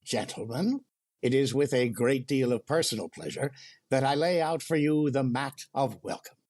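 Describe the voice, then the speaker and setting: A man's voice: medium pitch, lively intonation, measured pace, darkish tone. An actor playing a mild, fumbling comic foil who keeps losing his welcome speech. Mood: flustered. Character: flustered, mild-mannered male comic foil. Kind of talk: 1930s radio comedy acting.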